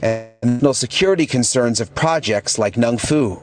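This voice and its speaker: A man narrating a television news package as reporter in a measured voice. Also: mid-range voice, music in the background.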